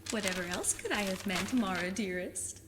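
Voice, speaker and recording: A woman's voice: mid-range voice, moderate pace, background noise, natural voice. A woman playing a plucky, overconfident fifteen-year-old girl sleuth with a cheeky, mock-formal manner in an audio drama. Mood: cheeky, scheming. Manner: eager, cheeky, mock-formal, self-assured.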